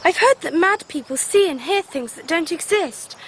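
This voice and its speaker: A very high, animated young girl's voice with an upper-class English accent. She is earnest, questioning and anxious, a headstrong child of a Victorian radio drama. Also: normal speed, slight background noise, exaggerated voice. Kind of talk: 1990s radio drama acting.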